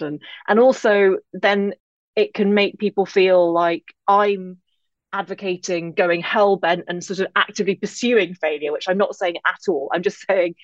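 An English woman speaking as an interview guest, reflective, articulate and measured in how she puts her thoughts together. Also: medium pitch, no room sound, highs cut off. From England-born, grew up in Northern Ireland. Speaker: podcast guest, author.

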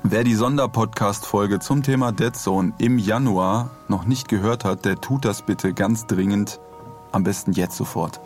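A man's voice: on the low side, unhurried, faint music behind. A man chatting casually as a podcast co-host, with dry, playful joking.

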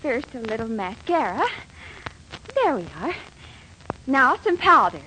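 A woman's voice: sits high, sing-song, a little slow, bright, forceful tone, full character voice. An actress playing a bossy, wheedling, theatrical young girlfriend in a 1940s radio comedy, coaxing and commanding by turns. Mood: fussing. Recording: noisy old 1940s radio recording, studio audience.

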